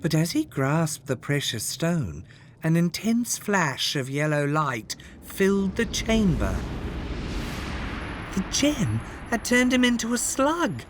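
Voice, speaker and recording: A storyteller reading a children's fairytale aloud in an expressive, measured way, with dramatic scripted delivery. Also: mid-range voice, slight background noise. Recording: music under the voice. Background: background music.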